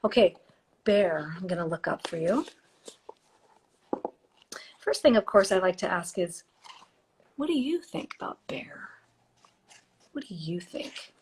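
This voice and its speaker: A woman hosting a live stream, chatting and giving oracle card readings, her voice a little hoarse. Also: fairly low pitch, sing-song, unhurried, pauses often, slight background noise. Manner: warm, chatty, reads card texts softly. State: slightly hoarse, losing her voice. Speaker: podcast host and intuitive reader. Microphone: phone or computer mic for a live stream.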